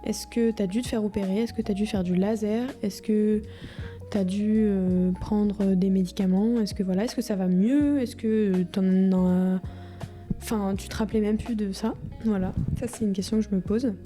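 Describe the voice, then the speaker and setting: A woman's voice: mid-range voice, steady tone, moderate pace, dark tone. A woman, talking informally and hesitantly in a casual video diary, as if to her future self. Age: 20s. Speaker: vlogger. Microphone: microphone in front of a camera. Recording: music behind the voice. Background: music.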